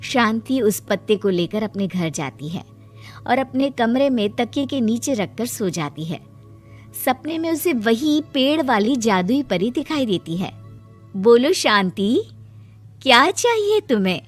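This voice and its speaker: A woman's voice: on the high side, expressive, measured pace, a little mellow. A woman reading scripted storybook narration for a cartoon moral tale. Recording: music behind the voice.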